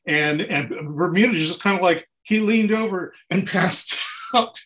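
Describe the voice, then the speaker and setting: A man's voice: high voice, unhurried, a bit bright. A man telling casual anecdotes as an interview guest, acting out bits of dialogue and repeating phrases for emphasis in an informal way. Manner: acts out dialogue and sounds while telling stories. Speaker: interview guest, touring keyboard player.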